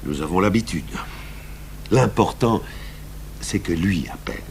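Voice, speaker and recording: Low-voiced police commissioner. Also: male voice, gravelly, a little mellow. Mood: calm, firm. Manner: measured, deliberate, deferential but firm. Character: seasoned male police commissioner, calm, patient, wryly stubborn. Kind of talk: French radio drama acting. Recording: hummy, noisy old radio broadcast.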